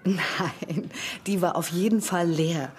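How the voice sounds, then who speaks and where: An actress playing a friendly, chatty, flustered kindergarten teacher in a children's audio play. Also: female voice, fairly low pitch, slow pace, slight background noise.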